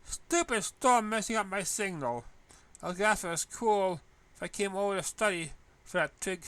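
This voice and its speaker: Brash, confident sporty schoolgirl, high and bright, slangy delivery, performed by a man. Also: animated, normal speed, clean tone, no echo. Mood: grumbling. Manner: casual, slangy, punchy.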